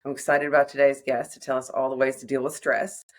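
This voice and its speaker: A woman's voice: rumbling low, flat delivery, fast. A woman hosting a podcast, reading a scripted guest intro and asking questions. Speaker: podcast host. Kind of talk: podcast interview.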